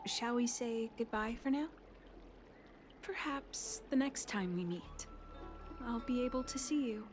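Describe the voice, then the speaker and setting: An actress voicing a polite, soft-spoken woman alchemist in a video game, speaking formally and with measure. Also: fairly high pitch, natural voice. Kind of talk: video game character dialogue.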